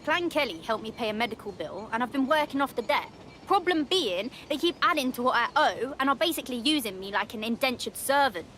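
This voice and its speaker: Higher-voiced blunt British rebel woman. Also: animated, fairly quick, a bit bright, a little hiss or hum. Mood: worried. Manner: colloquial, blunt. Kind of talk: video game cutscene acting.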